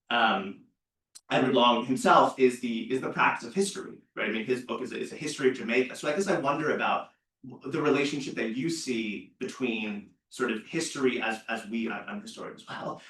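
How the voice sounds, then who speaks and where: A man's voice: medium pitch, a bit bright. A man in a seminar audience asking a long academic question, hesitant, with many fillers and restarts. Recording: roomy seminar room, far from mic.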